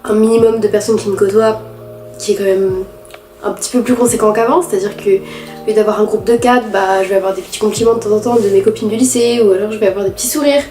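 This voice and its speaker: A teenage girl with a head cold, talking nasally through a candid, halting confessional vlog. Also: mid-range voice, fairly even intonation, dark tone, reverberant, faint music behind. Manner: halting, candid, many restarts and fillers. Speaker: vlogger.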